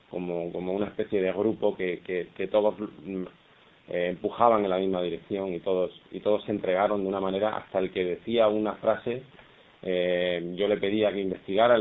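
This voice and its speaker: A man, a film director, answering at a press conference in a halting way, often repeating words as he thinks. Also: deep voice. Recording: muffled phone-like press conference audio.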